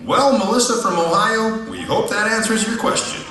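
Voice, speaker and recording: A man's voice: sits high, moderate pace, sharp tone. A man voicing a patient, upbeat puppet teacher, instructive and emphatic as he corrects his partner in scripted dialogue. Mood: encouraging. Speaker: co-host of a question-and-answer show. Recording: echoey hall, distant from microphone.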